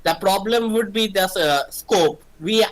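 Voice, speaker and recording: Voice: medium pitch, a little slow. Explanatory game writer in casual group chat. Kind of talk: casual creative brainstorming discussion.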